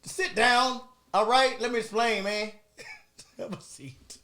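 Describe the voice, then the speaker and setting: A man in his thirties co-hosting a casual podcast chat, animated and laughing often, stumbling over restarts, with some phlegm in his throat. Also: very high-pitched, slow, a little hiss or hum. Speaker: podcast co-host.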